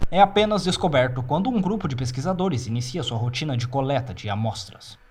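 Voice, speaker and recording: Male narrator, Brazilian accent, mid-pitched, measured and formal, for clinical horror-fiction files. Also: lively intonation, a little mellow. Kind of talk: scripted narration of clinical report.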